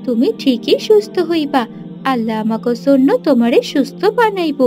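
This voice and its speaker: A woman's voice: high-pitched, sing-song, moderate pace, warm tone, faint music behind. A woman voicing a poor, dutiful village girl in a cartoon, plaintive and humble, with a rural Bangladeshi accent. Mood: tender, worried.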